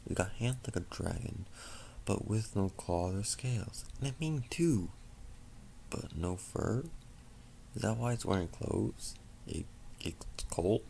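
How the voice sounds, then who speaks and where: A man's voice: fairly low pitch, unhurried, creaky, slight background noise. A man voicing a lonely, emotional winged unicorn princess in a storybook reading. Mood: curious. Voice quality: soft. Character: lonely, distraught winged unicorn princess, female, shyly curious.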